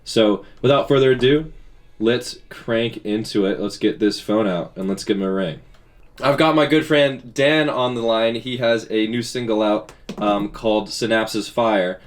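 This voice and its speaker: A male radio host with a fairly low voice, talking in an easy, friendly way from the studio. He leans on small fillers as he introduces his guest and keeps the chat loose. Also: a little slow. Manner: relaxed, friendly, with frequent fillers. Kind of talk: casual radio interview.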